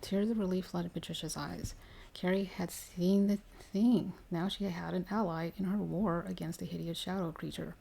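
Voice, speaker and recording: A woman reading a story aloud in ASMR style, soft-spoken and drifting into whispers. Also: fairly low pitch, steady tone, normal speed, gravelly, breathy edge, poor audio. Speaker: reader of paranormal stories for ASMR.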